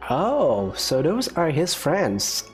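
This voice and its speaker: Male streamer, casual wry game reactions, animated intonation, slow delivery, faint music. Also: on the high side. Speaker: gameplay streamer. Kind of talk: casual gameplay commentary.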